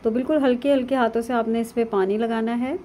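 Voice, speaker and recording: A woman, calmly narrating a cooking lesson step by step over video of her hands at work. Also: on the high side, quick pace, slight background noise. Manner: calm, instructive, with frequent fillers. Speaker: cooking channel host. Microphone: voice-over over hands-only video.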